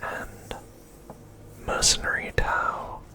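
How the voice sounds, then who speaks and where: Slow, whispering ASMR host. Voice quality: breathy, unvoiced whisper. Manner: slow, hushed, unhurried. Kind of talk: whispered ASMR, unscripted show-and-tell commentary.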